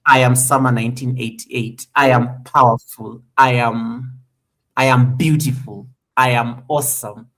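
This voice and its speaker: A man in his thirties hosting a casual live interview, relaxed and encouraging, often circling back mid-sentence. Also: medium pitch, a little slow, poor audio. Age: 30s. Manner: relaxed, encouraging, talks in looping restarts. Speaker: host.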